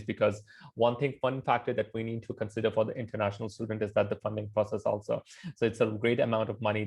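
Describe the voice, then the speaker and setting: A man who is a guest admissions consultant, talking in a halting way with many fillers and restarts. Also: fairly low pitch, gravelly. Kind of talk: casual interview chat.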